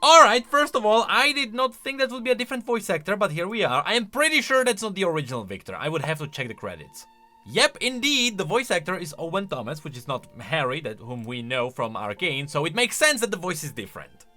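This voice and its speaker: Male commentary host. Bright, forceful voice with lots of swing, conversational and emphatic. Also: high voice, big pitch swings, brisk, faint music behind. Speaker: video host. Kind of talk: casual reaction and explanation commentary.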